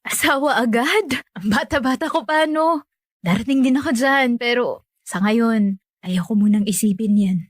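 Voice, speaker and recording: A woman's voice: a little high, moderate pace, no echo, studio quality, close to an everyday voice. A young woman playing a resigned, dutiful family caretaker in natural radio drama dialogue, self-effacing and accommodating.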